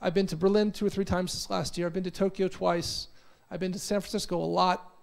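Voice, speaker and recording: An American man in his forties giving an energetic motivational conference talk, telling stories and often repeating words. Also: sits high, brisk, gravelly. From United States. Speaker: conference speaker. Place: conference hall.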